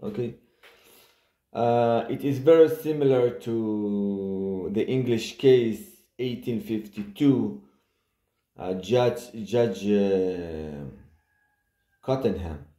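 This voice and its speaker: Man, Hebrew accent, halting delivery, mellow voice, roomy echo. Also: lowish voice. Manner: halting, hesitant, many filler pauses. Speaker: vlogger. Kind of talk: personal video monologue. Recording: echoey room.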